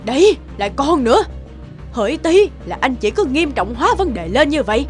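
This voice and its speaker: A woman's voice: sits high, big pitch swings, moderate pace, soft background music. A woman voicing a scolding, dismissive old grandmother in a cartoon, grumbling as she talks. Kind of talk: cartoon voice acting.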